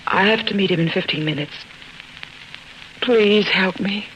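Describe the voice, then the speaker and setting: A woman's voice: mid-range voice, measured pace, a bit bright, slight background noise, close to an everyday voice, rough recording. An actress in a 1940s radio drama playing an anxious, secretive woman in distress, polished and insistent. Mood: urgent, pleading. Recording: old radio recording with music.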